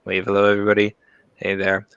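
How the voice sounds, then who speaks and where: Bright, dry-voiced male stream host. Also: fairly low pitch, completely flat delivery, quick pace, gravelly, clean tone, no room sound, muffled recording. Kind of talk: casual live-stream hosting.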